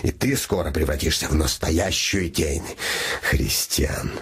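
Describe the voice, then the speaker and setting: An actor playing a smug, commanding shadow who has become king, speaking with slow, imperious theatrical deliberation. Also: extremely low pitch, a bit bright, husky, heavily acted. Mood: mocking condescension. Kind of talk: theatrical radio play acting.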